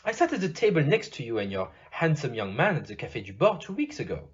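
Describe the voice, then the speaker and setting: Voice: medium pitch, big pitch swings, fast, breathy edge. A performer voicing a courteous, shrewd, fatherly male detective in an audio play, with formal, theatrical phrasing and a put-on French accent. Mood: courteous, probing. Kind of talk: amateur audio play acting. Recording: dull, muffled lo-fi.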